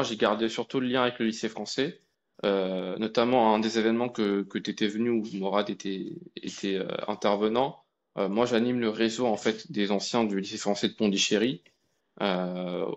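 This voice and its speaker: A young man from India, a guest telling his story in a relaxed, colloquial way with many fillers and restarts. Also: lowish voice, dull audio. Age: young adult. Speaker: guest, AI researcher. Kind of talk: casual conversation.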